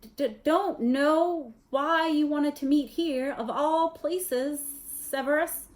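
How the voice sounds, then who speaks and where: A woman voicing a timid, frightened male schoolteacher with a halting stammer in a storybook reading. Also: high voice, darkish tone, pitched above the performer's own voice. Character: nervous, stuttering, frightened man, timid schoolteacher. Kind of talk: storybook character voice.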